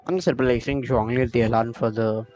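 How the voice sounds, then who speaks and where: A man's voice: medium pitch, fairly even intonation, fairly quick, a little mellow, soft background music. A man giving casual gameplay commentary on a livestream. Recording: dull lo-fi voice chat audio.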